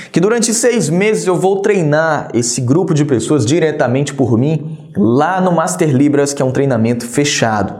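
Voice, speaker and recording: A man's voice: on the high side, darkish tone, reverberant. A man teaching an online sign language lesson, talking straight to the viewer in an encouraging, direct way. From Brazil.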